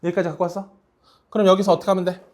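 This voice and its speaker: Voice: mid-range voice, little pitch movement, rapid-fire, a little mellow. A math teacher working through problems in a classroom lesson, speaking casually and often asking students questions to check they follow.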